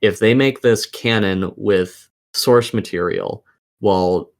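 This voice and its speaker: A man's voice: on the low side, slow. A man co-hosting a casual gaming podcast, explaining his opinions at length and swearing casually. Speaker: podcast co-host.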